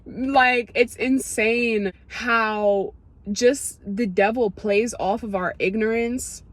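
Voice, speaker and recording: A young woman vlogger from Ethiopia talks straight to her viewers in a loose, chatty stream of thought. She gets emotional and excited as she goes, with lots of "like" and quick restarts. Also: fairly high pitch, dry recording. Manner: emotional, excitable, many fillers. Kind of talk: casual testimony to camera.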